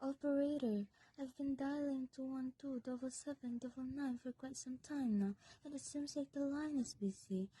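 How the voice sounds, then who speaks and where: A woman's voice: high-pitched, steady tone, moderate pace, hardly any pauses, warm tone, slightly rough, no echo, low-quality recording. A teenage girl acting an anxious, demanding, sickly married woman in an amateur radio drama. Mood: anxious, pleading. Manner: insistent, imperious.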